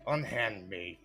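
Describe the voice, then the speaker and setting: A man's voice: lowish voice, sharp tone, breathy edge, full character voice. A man playing a proud captive fox-man in a fantasy game, terse and scornful. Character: proud, defiant captive male fox-man, contemptuous and impatient.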